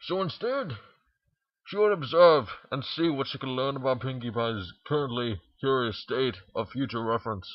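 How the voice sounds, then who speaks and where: A man's voice: mid-range voice, moderate pace, no echo, lo-fi sound. A man reading a story aloud in an informal amateur narration, stumbling now and then. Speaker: fan fiction reader.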